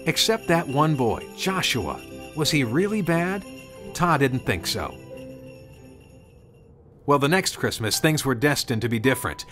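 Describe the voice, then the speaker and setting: A man's voice: medium pitch, sing-song, a little slow, long pauses, fairly bright tone, soft background music. A man narrating a scripted storybook tale as a storyteller.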